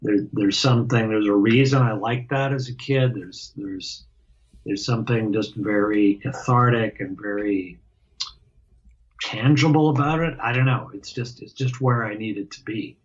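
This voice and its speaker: Halting male drummer, dull lo-fi sound. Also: lowish voice. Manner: halting, many restarts and fillers. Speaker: guest drummer. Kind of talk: casual interview talk.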